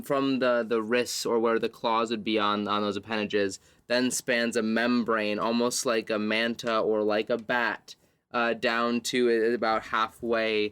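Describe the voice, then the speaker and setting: A man playing a tabletop roleplaying game, describing scenes as he improvises them, piecing his sentences together in halting fragments. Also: lowish voice, fairly bright tone, clear, resonant tone, no room sound.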